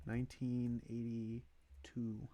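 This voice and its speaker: Male streamer for casual ranking commentary. Unhurried, halting, thinks out loud, very dry recording. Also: medium pitch, completely flat delivery, no echo, dull audio. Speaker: streamer hosting a movie tier list.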